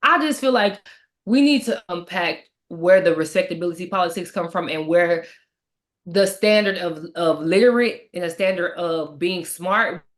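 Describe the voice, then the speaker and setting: A woman's voice: fairly low pitch, normal speed. A woman with a Texan accent in a casual book discussion, talking emphatically and firing off rhetorical questions. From Texas.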